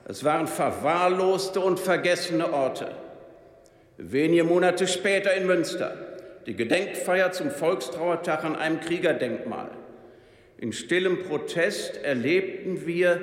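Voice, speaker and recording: An older man solemnly reading a prepared memorial speech, slow, grave and measured. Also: a little high, animated. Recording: echoey hall with long reverb.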